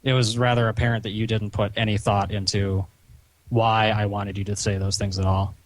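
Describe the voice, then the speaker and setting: A man talking on a recorded phone call in a stern, chiding, halting way, padding his sentences with "you know". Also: lowish voice, flat delivery. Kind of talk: phone conversation, reproachful lecturing.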